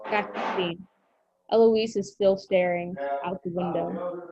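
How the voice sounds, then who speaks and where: A woman's voice: fairly low pitch, steady tone, a little slow, gravelly, background noise. A woman reading screenplay stage directions aloud as narrator at a table read. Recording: dull lo-fi recording.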